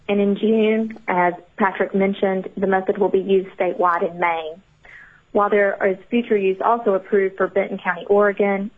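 A woman with a Southern US accent, an expert witness reading prepared remarks to a committee in a measured, formal way, heard over a telephone. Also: mid-range voice. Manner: measured, formal delivery with occasional fillers. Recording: narrow phone-line audio.

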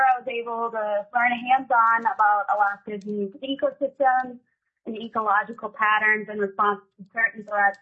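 A slightly hoarse woman with the flu, a board appointee calling in remotely to testify, polite and a little halting with many "um"s. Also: a little high, sharp tone. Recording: tinny phone line.

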